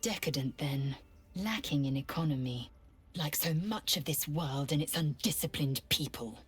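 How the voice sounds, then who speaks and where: Low, very husky alien warrior woman. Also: lively intonation, normal speed, heavily acted. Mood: haughty, disdainful. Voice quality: husky, raspy. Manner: clipped, disdainful, commanding. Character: stern, haughty alien githyanki warrior woman. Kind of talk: fantasy video game acting.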